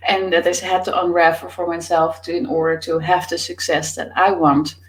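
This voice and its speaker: A woman's voice: fairly low pitch, flat delivery, moderate pace, poor audio. A woman in her thirties from the Netherlands with a Dutch accent, answering interview questions in a thoughtful way with many fillers. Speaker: author and business coach, guest.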